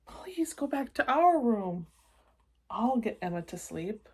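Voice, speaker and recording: A woman's voice: fairly high pitch, sing-song, slow, darkish tone, slightly rough, voice pushed up, heavily acted. A woman voicing an earnest young boy, a plucky older brother in a storybook, speaking in a coaxing, polite way. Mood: whispered pleading. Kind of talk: children's storybook read-aloud.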